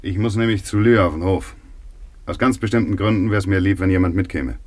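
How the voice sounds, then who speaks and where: A man acting a brusque village doctor in a German radio play, gruff, matter-of-fact and easily exasperated. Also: deep voice, brisk, a little mellow, no room sound, natural voice. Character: brusque village doctor making farm calls.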